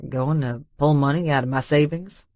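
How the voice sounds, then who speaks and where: A man's voice: a little high, fairly even intonation, moderate pace, dry recording, dull audio, close to an everyday voice. A man playing a blunt, stubborn redneck billionaire in an audiobook. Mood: matter-of-fact, cocky. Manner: folksy, blunt, colloquial. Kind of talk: audiobook character dialogue.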